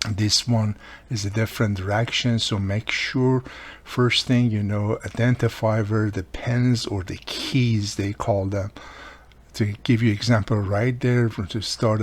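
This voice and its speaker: A man giving a casual step-by-step repair tutorial as a hobbyist, plain-spoken and instructive, with non-native-sounding phrasing and dropped articles. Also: on the low side. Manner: plain-spoken, instructive, often checking with "right?". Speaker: radio repair hobbyist demonstrating a restoration.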